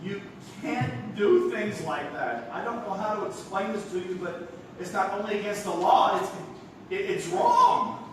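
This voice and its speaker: An actor playing an exasperated young nephew in a stage comedy, frantic, stammering and emphatic. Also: male voice, sits high, sing-song, unhurried. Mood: flustered, pleading. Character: flustered, exasperated young theater critic nephew. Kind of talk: theatrical stage comedy acting. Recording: echoey, noisy live theater from far off.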